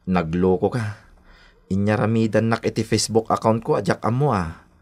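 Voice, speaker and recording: A man with an Ilocano accent acting a brash, smooth-talking young cousin and suitor in a radio drama. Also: on the low side, normal speed, darkish tone. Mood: hurt, reproachful.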